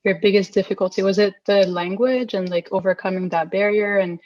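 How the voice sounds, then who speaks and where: A young woman hosts a podcast interview, reading her introduction in an earnest way and then asking casual questions. Her voice sits in a middle pitch. The sound is a little dull, with no room echo. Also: steady tone, fairly quick, darkish tone. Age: young adult. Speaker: podcast host.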